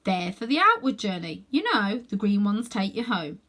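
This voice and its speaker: A woman reading aloud and voicing a curious, excitable young boy in a storybook. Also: mid-range voice, normal speed. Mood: explaining. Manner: lively, raised childlike voice.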